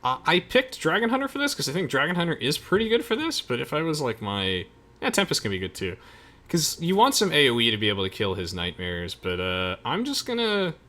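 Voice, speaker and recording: A man's voice: a little high, very animated, brisk, pushed and bright. A young man hosting a let's play with casual, chatty and playful game commentary. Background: game music.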